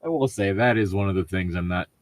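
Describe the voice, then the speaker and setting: A man's voice: low-pitched, fast, no room sound. A man co-hosting a podcast, switching between casual chat and reading stories aloud.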